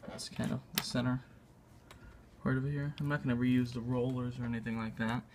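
A man narrating a casual hands-on tutorial in a halting, unscripted way, thinking aloud as he works. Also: medium pitch, steady tone, noisy background. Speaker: video maker demonstrating a repair. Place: indoors at a tabletop.

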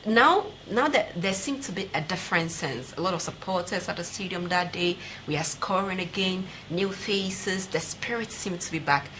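A woman's voice: fairly low pitch, animated, normal speed, hardly any pauses, fairly bright tone. A woman in her thirties with a Ghanaian accent, hosting a televised sports interview as presenter. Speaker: TV sports show host. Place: TV studio, seated at desk. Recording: clearly noisy studio recording.

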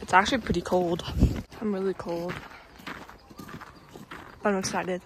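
A teenage girl filming a casual vlog on her phone, talking to viewers in a hushed morning voice and later out in the snow. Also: fairly low pitch, a little slow, noisy background. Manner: whispery early-morning talk, bubbly and excited outdoors. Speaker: vlogger. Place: at home, then outdoors in snow. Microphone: handheld phone.

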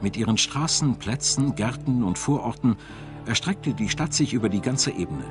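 A man's voice: medium pitch, expressive, normal speed, music in the background. A man reading scripted narration for a documentary.

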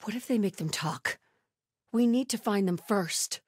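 An actress playing a devout, stern, vigilant cult scout in a video game, speaking in a hushed, breathy, solemn way. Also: female voice, mid-range voice, measured pace, dry recording, studio quality. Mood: guarded, grim. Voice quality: breathy, hushed, often whispery. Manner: solemn, guarded.

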